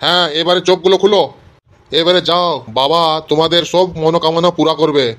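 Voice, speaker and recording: Man as a high-voiced cartoon holy man. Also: fairly even intonation, moderate pace, fairly bright tone, close to an everyday voice. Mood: solemn, blessing. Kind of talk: amateur cartoon dialogue.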